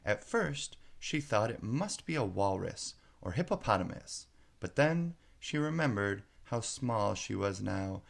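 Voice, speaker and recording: A man reading a children's story aloud as narrator, in a measured, expressive way. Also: lowish voice, creaky.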